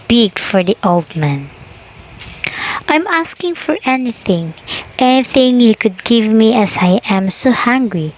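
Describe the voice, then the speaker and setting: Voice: mid-range voice, low-quality recording. A woman voicing an old beggar from a storybook folktale. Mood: pleading, weak. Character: hungry old beggar, pleading, then sternly scolding.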